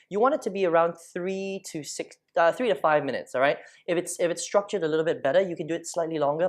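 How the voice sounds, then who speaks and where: A man in his thirties from Malaysia, teaching online marketing over a screen share in a chatty way, often checking in with the listener. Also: on the high side. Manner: chatty, keeps checking in with "okay?". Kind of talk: casual screen-share tutorial. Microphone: lapel mic.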